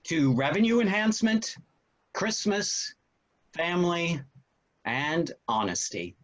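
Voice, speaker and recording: A man's voice: a little high, animated, takes it slowly. A man playing a genial, wryly amused father in a theatrical play reading. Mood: wry, festive. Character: genial father proposing a festive toast. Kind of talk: virtual stage play table read.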